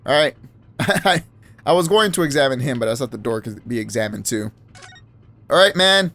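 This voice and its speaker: A man's voice: medium pitch, lively intonation, normal speed, pushed and bright. Chatty man narrating a game. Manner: self-deprecating, chatty asides. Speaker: let's play gamer. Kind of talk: casual game commentary.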